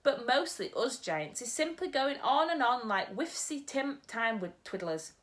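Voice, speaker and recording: A woman reading aloud, voicing a huge kindly old male giant who mangles his grammar and booms his exclamations. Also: big pitch swings, measured pace, a bit bright. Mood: earnest.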